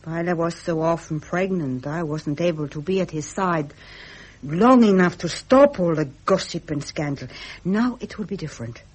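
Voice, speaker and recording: A woman playing a gracious, devoted princess in a radio drama, speaking in an earnest, refined, measured way. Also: fairly low pitch, darkish tone, slightly rough, low-quality recording. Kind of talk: 1970s British radio drama acting.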